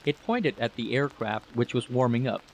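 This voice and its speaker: A man's voice: medium pitch, moderate pace, over loud music, rough recording. A man reading a scripted story as its narrator.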